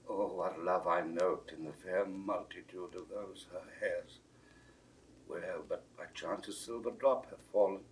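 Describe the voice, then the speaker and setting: An actor playing a grave, tender royal lord in a classical Shakespearean audio drama, declamatory and dropping into a breathy hush. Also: male voice, low-pitched. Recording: distant mic with background hiss.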